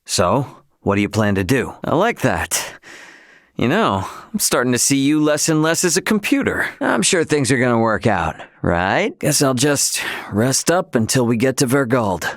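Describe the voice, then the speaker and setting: A man's voice: medium pitch, a bit bright, no echo. A close, dry studio sound carries a brash young male starship captain in a video game. He talks bluntly in casual slang, cocky and hot-headed yet loyal, in a mid-range voice. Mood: serious, businesslike.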